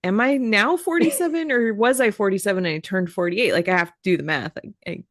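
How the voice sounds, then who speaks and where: A woman's voice: medium pitch, a little fast, no echo, clean studio sound. A woman in her forties co-hosting a casual podcast, speaking in a plain, matter-of-fact way. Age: 40s. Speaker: podcast co-host.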